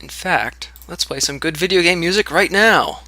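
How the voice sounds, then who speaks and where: A man's voice: fairly high pitch, animated, normal speed, sharp tone, dry recording. A man co-hosting a casual podcast chat, upbeat and teasing. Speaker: co-host.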